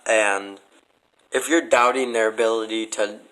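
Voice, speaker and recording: A man's voice: on the low side, fairly even intonation, measured pace, fairly bright tone, clear, resonant tone, low-quality recording. A man chatting casually as an interview guest, with a blunt, skeptical way of talking. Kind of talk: casual chat.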